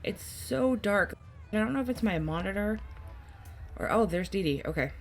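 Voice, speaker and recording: A woman's voice: mid-range voice, animated, normal speed. A woman giving casual let's-play commentary, thinking aloud and reacting as she plays. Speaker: gameplay host.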